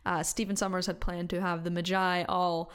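A woman's voice: fairly low pitch, flat delivery, moderate pace, fairly bright tone, clean tone. A woman co-hosting a podcast, chatting casually in a playful, joking way. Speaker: podcast co-host. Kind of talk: casual chat.

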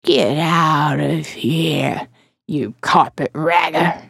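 A woman voicing a croaking, preachy woman of sixty in audio fiction, scolding in folksy rustic speech. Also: sits low, fairly even intonation, drawn-out words, fairly bright tone, dry recording, studio quality, voice pushed down, full character voice. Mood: hostile, preachy. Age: 60s. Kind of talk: audio fiction character acting.

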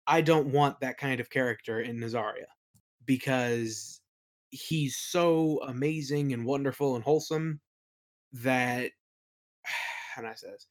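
A man with a medium-pitched voice talks casually as a guest in an interview. He thinks aloud as he answers, hesitating and feeling out his wording. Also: measured pace. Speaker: interview guest, audio play creator.

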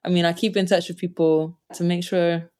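Young woman, lowish voice, warm storyteller who laughs easily; interviewee. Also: a little fast. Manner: animated, laughs often, jumps up in pitch when quoting others. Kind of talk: reflective conversational storytelling.

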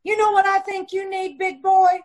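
Woman acting a high-voiced, brash female hitchhiker. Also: completely flat delivery, normal speed. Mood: sassy, dismissive. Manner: brash, dismissive. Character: brash, sassy woman hitchhiker rebuffing a stranger. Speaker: actress in an old-time radio troupe. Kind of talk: radio drama acting. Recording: amateur video call recording.

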